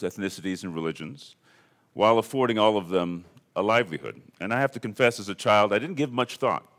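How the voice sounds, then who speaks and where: A man from Ethiopia giving a prepared conference talk in a measured, deliberate way. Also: fairly low pitch, fairly bright tone. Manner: measured, deliberate delivery with lists. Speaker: conference speaker. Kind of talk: prepared keynote speech. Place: conference hall.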